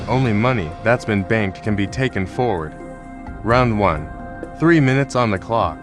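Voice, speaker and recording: Brief: male game show host, brusque and formal, lowish voice, music clearly behind. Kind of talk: scripted game show presenting.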